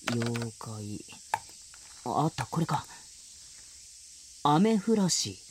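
A woman voicing an earnest, skeptical middle-school boy in an audio drama, acting his part and narrating his story with a husky voice. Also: deep voice, noisy background, exaggerated voice.

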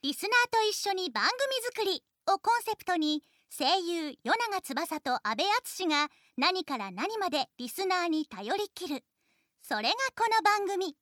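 A woman's voice: very high voice, very animated, moderate pace, fairly bright tone, dry recording, clean studio sound. A woman reading a scripted introduction to a radio programme. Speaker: show announcer.

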